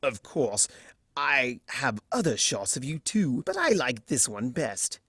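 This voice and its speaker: A narrator voicing a flamboyant, teasing male chimera trickster in a fan storybook reading, smug and theatrical with drawn-out emphasis. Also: mid-range voice, very animated, a bit bright, slightly rough, no echo, studio quality, exaggerated voice.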